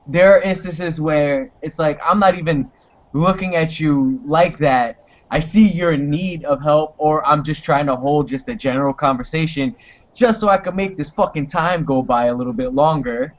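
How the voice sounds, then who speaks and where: Brief: young man guest, slow and candid, slightly high voice, dull lo-fi sound. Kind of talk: casual chat on a video call. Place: small room indoors. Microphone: headset on a video call. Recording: lo-fi video call audio.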